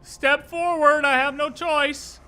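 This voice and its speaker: An excitable streamer giving casual live reactions to a game, slangy and full of exclamations. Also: high voice, slow.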